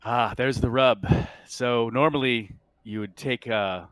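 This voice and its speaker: A man voicing a polite, guarded ship's android in a tabletop game, apologetic and measured in manner. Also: mid-range voice, a bit bright, no echo, lightly acted. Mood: matter-of-fact, courteous. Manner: measured, conciliatory, formally courteous. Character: polite, guarded, apologetic android named James minding a ship. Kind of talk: improvised tabletop roleplay acting.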